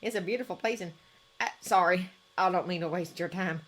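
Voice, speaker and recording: A woman's voice: lowish voice, fairly quick. A woman voicing a friendly, nervous male tourist who speaks sheepishly. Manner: sheepish and warm.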